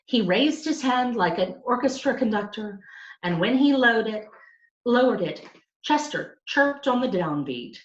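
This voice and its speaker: A woman reading a children's story aloud with expressive, emphatic narration. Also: on the high side, unhurried. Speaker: storybook reader. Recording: roomy, slightly dull sound.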